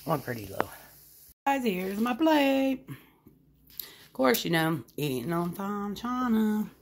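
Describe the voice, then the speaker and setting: A woman's voice: medium pitch, very animated, takes it slowly, slight background noise. A middle-aged woman, chatting casually to her viewers while cooking in her home kitchen. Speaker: vlogger. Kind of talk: casual home vlog narration. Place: small home kitchen, indoors. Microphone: handheld phone camera.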